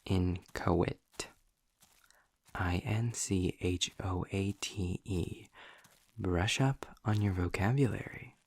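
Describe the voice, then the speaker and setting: Guy doing a sarcastic demon for audio rp, low gravelly voice, talks down to you, theatrical and impatient. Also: slow, no echo, full character voice. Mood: dry, condescending. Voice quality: low, gravelly, husky with breathy drops. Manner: sardonic, theatrical, condescending. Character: snarky, impatient male demon trapped in his own hell. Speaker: audio roleplay performer. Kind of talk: scripted audio roleplay, addressed to the listener.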